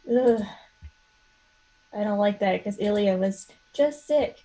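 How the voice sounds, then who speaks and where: A woman playing a tabletop roleplaying game, chatting informally and haltingly as she thinks aloud. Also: medium pitch, dark tone. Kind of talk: casual chat during a tabletop game.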